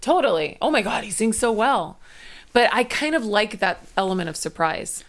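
A woman's voice: medium pitch, sing-song, normal speed, a little hiss or hum. A woman co-hosting a podcast, chatting enthusiastically and laughing easily. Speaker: podcast co-host. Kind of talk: casual chat over music. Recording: loud music playing behind. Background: country music.

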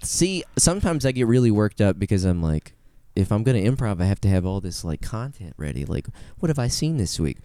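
A man with a slightly low, lively voice talks casually as a podcast co-host. He is profane and conversational, and his delivery swings freely in pitch. The sound is dry. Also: brisk, hardly any pauses, clear, resonant tone, no room sound. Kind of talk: casual comedic podcast chat.

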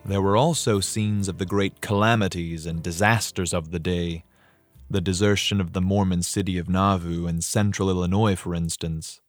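A man with a fairly low voice reads narrative history as an actor would, dramatically and expressively. His delivery is measured storytelling, with faint music behind him. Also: steady tone, no room sound. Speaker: actor reading from a history book. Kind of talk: dramatic audiobook-style reading.

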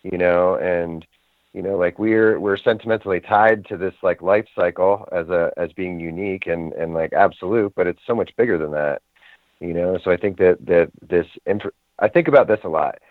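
A man's voice: low-pitched, moderate pace, no room sound. A man who performs ministry services, musing philosophically as a guest on a radio show. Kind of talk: casual radio talk show chat. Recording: tinny phone-like radio audio, dry.

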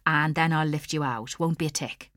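A woman voicing a big, warm-hearted middle-aged male chauffeur, cheery, colloquial and respectful, in an audiobook. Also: sits low, moderate pace, no room sound, clean studio sound. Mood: cheerful, obliging.

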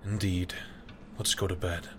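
An actor playing a tender, proud father, speaking quietly in an audio drama. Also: low voice, flat delivery, unhurried, background noise. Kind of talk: soft audio drama acting.

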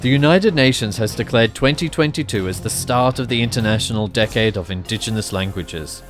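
A man hosting a podcast, giving formal scripted introductions and asking clear questions. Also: on the low side, brisk, faint music behind. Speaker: podcast host. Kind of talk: podcast interview.

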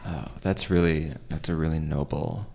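A man in improvised radio theater playing a pushy, easily flustered young schemer who stammers and repeats himself excitedly. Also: extremely low pitch, normal speed, vocal fry, full character voice. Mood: earnest, pushy. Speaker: improv comedy performer.

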